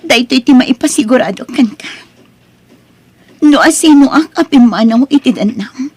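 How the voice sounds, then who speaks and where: An actress voices a wronged wife with a high-pitched voice and an Ilocano accent. She sounds plaintive and imploring in a radio-style melodrama. Music plays beneath her. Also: darkish tone, breathy edge, no echo, heavily acted. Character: Ivy, wronged, long-suffering wife, tearful and pleading. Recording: music under the voice.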